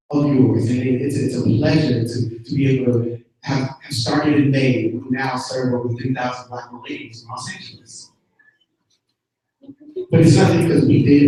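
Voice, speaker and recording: A man's voice: fairly high pitch, a little mellow. Earnest man on a panel, echoey room. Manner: earnest, repetitive, slangy. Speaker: panelist, business founder. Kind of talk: casual panel talk. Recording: roomy, distant sound.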